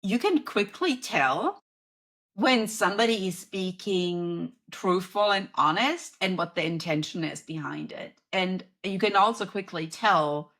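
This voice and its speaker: A woman's voice: mid-range voice, a bit bright. A woman from Germany with a German accent, a coach telling a story as a guest on a video-call interview and acting out her own reactions. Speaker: guest, business and wellness coach. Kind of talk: casual interview talk.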